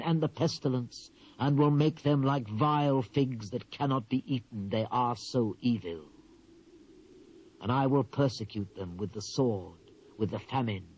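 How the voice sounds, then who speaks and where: A dull, muffled cassette recording of a solemn British prophet acted by a man in a Bible drama. His voice is dark and mellow at a middling pitch. He declaims God's word gravely, drawing out his phrases with measured weight. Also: lightly acted. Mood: stern, condemning. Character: solemn male Hebrew prophet proclaiming God's judgement. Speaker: actor reading dramatised scripture. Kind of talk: dramatised King James Bible reading.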